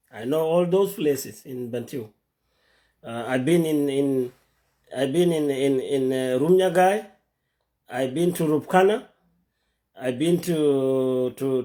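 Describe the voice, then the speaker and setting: High-voiced man, halting live talk. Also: a little mellow. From South Sudan. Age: middle-aged. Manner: halting, repeats words while searching for names. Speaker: social media host. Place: home in Atlanta. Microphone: phone.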